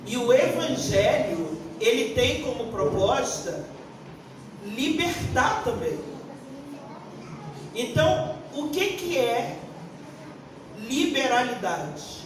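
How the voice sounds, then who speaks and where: A Brazilian woman of sixty-one answering on a forum panel like a pastor, deliberate and emphatic, searching for words as she goes. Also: fairly high pitch, sing-song. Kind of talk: unscripted panel answer with preaching tone. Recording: echoey room, distant mic, faint noise.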